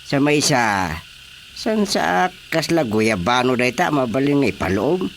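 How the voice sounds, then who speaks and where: An actor playing an easygoing, comic rural father in an Ilocano radio drama, with drawn-out phrasing. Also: mid-range voice, big pitch swings, fairly bright tone, slight background noise. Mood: curious.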